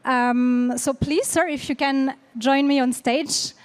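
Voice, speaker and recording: High-voiced woman introducing a speaker. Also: fairly even intonation, takes it slowly. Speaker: seminar moderator.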